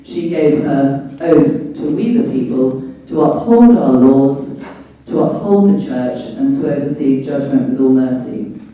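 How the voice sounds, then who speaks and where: A woman's voice: a bass voice, lively intonation, a little slow, hardly any pauses. A British woman giving an informal public talk as a guest speaker, explaining in an emphatic, didactic way with rhetorical questions. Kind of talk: informal public lecture on law and rights. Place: large echoey indoor venue at a public meeting. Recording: dull, very echoey hall, distant mic.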